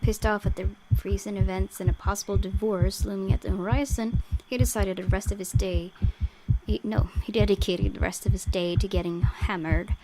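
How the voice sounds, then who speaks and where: A woman's voice: medium pitch, fairly even intonation, normal speed, very noisy. A woman playing a visual novel, reading its text aloud and adding casual reactions. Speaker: game streamer. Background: game music.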